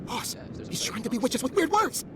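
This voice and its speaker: A woman's voice: high voice, very animated, brisk, noisy background. A woman voicing a timid young henchman in a video game, hesitant, anxious and easily spooked. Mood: alarmed.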